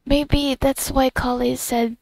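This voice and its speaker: Slightly creaky, high-voiced woman gamer thinking aloud. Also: flat delivery, slow pace, a little mellow, no room sound, clean studio sound. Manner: rambling, thinking aloud in fragments. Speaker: gamer commenting on playthrough. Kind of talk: casual playthrough commentary.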